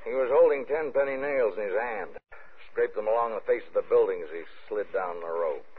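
An actor playing a smooth schemer in a 1950s radio thriller. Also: male voice, fairly low pitch, brisk, phone-quality sound, close to an everyday voice. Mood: cool, casual. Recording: noisy, thin 1950s radio broadcast.

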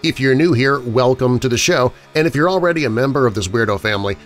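A man hosting a podcast, reading a scripted introduction to old-time radio with theatrical, deliberate emphasis. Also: mid-range voice. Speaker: podcast host. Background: background music.

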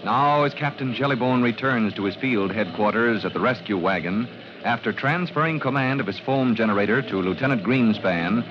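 A man's voice: mid-range voice, moderate pace, crowd noise. A man narrating a 1940s radio drama as announcer, with a grave, theatrical and emphatic delivery. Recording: muffled old radio recording.

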